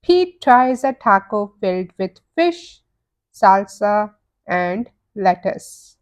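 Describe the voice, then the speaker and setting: A woman narrating a children's storybook in an expressive, deliberate way for young listeners. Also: mid-range voice. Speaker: children's read-aloud narrator.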